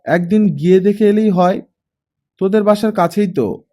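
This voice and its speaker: A man's voice: sits high, normal speed, warm tone, voice pushed up, natural voice. A man reading as an easygoing young man. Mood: frank. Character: casual, easygoing young family friend, a man. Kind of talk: audiobook character reading.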